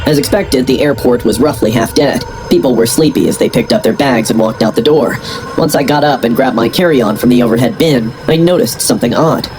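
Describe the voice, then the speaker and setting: A man's voice: mid-range voice, brisk, poor audio. A young adult man reading a scripted first-person horror story as narrator. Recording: music bed under narration. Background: background music.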